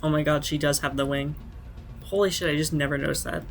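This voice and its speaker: Creaky-voiced woman streaming a game. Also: deep voice, steady tone, fast. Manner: blunt, swearing, muttered reactions. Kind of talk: casual playthrough commentary. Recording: loud game music behind voice.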